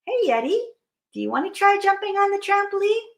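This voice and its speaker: A woman's voice: very high-pitched, very animated, brisk, creaky, voice pushed up, heavily acted. A woman voicing a cheerful, playful male bear in a children's story, with simple exclamations. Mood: friendly. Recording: echoey room.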